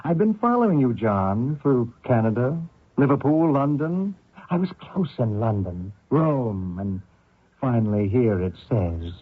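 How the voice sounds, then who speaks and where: An actor playing a vengeful Canadian man hunting a fugitive, deliberate and taunting in an old radio drama. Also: mid-range voice, sing-song, husky, dry recording. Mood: accusing. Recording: muffled thin old radio recording.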